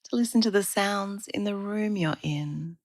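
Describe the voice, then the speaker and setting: A woman guiding a relaxation practice as a yoga therapist, speaking in a calm, soothing, unhurried manner with pauses. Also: medium pitch, no echo, clean studio sound. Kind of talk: guided meditation narration.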